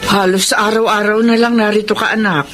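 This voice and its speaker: A woman's voice: mid-range voice, steady tone, fairly quick, over loud music, lightly acted. An actress playing a worried, self-blaming middle-aged Filipino mother in a Tagalog radio drama. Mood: tender, concerned.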